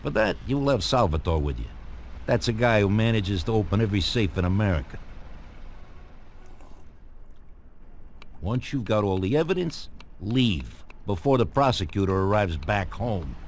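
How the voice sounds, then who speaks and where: An older Mafia boss in a crime game, with a low voice. He lays out orders in a calm, deliberate, matter-of-fact way, over faint background noise. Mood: calm, businesslike. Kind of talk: video game cutscene acting.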